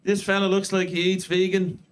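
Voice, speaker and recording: A man in his thirties with an Irish accent, doing a deadpan, self-mocking stand-up set in a comedy club. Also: high voice, a little fast, background noise. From Ireland. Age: 30s. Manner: deadpan self-mocking delivery with crude punchlines. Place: comedy club stage before a live audience.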